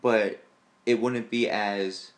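A man co-hosting a podcast, chatting casually and enthusiastically about films, with a deep voice and lots of fillers. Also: steady tone, slow. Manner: rambling, enthusiastic fan talk with fillers. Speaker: podcast co-host. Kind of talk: casual chat.

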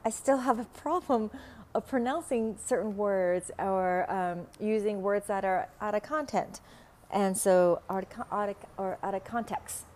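A middle-aged woman with a Vietnamese accent, whose first language is Vietnamese, hosting a spiritual podcast at home in a slow, halting way with many fillers and soft laughs. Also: medium pitch. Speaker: podcast host, spiritual teacher. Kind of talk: casual spoken podcast monologue. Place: home room in a city, window open, passing traffic. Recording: faint traffic noise from open window.

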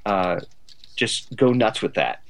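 A man with a fairly low voice talks casually as a podcast guest. He is thoughtful and halting, feeling his way through each idea with keen interest. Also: very animated, gravelly, a bit bright. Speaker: podcast guest, playwright and author. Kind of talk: casual conversational discussion.

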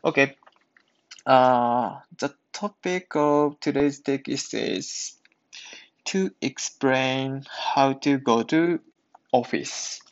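A man hosting an English practice podcast, speaking and reading aloud in careful, halting learner's English. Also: medium pitch. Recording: dull lo-fi sound.

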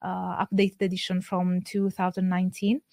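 A woman's voice: fairly low pitch, monotone, creaky, mellow, dry recording. Woman giving a dry lecture. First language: Italian. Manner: measured, explanatory, careful. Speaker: presenter. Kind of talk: academic lecture.

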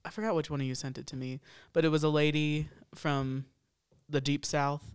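Woman, casual co-host, low and smooth voice, dry humor. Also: fairly even intonation, normal speed, no echo. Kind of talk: casual chat.

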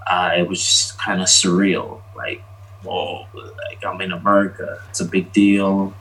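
A Jamaican-born man, talking casually as an interview guest, relaxed and rambling with lots of ums. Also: deep voice, breathy edge, rough recording. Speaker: interview guest, graphic designer.

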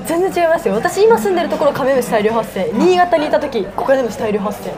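A woman's voice: high voice, very animated, quick pace. A woman co-hosting a casual podcast, eager and reactive, speaking in a politely casual way. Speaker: podcast co-host. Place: restaurant, recorded on location. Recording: busy restaurant, music and chatter behind. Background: music and crowd chatter.

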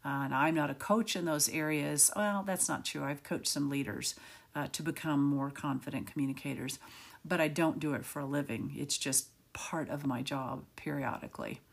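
A middle-aged woman hosting a solo podcast, with a raspy, gravelly voice and a rapid, slightly manic delivery. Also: low-pitched. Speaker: podcast host.

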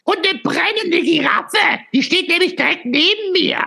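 A woman's voice: very high-pitched, animated, moderate pace, creaky, sharp tone, full character voice. A woman playing a small, plucky, sceptical creature in a surreal audio drama, with a pert, contradicting manner. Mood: matter-of-fact.

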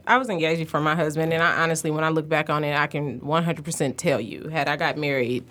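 A woman's voice: low-pitched, steady tone, quick pace, fairly bright tone. A woman in her thirties speaking in a brash, outspoken way in a casual podcast chat. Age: 30s.

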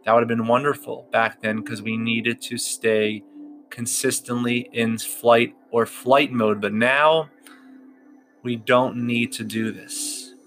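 A man leading a guided meditation in a slow, soothing, drawn-out way with long pauses. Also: mid-range voice, fairly even intonation, a bit bright. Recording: clear music bed behind the voice.

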